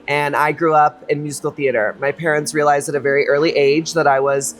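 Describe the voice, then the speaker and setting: A drag performer and choreographer from Texas, chatting as a guest in a lively, campy, playful way with quick quips. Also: male voice, a little high. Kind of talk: casual interview chat.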